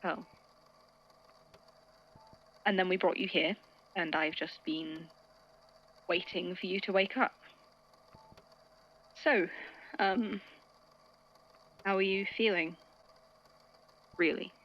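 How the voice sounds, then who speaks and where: A woman playing a shaken, devoted friend in an audio drama, speaking in a halting, hushed, earnest way. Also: on the low side, fairly even intonation, lo-fi sound. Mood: gentle concern. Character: Grian, shaken devoted friend recounting a rescue. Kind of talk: intimate dramatic monologue in audio fiction.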